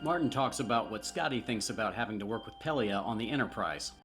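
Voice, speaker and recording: A man interviewing actors and introducing clips, asking questions in a plain, halting way. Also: fairly low pitch, expressive, a bit bright, soft background music.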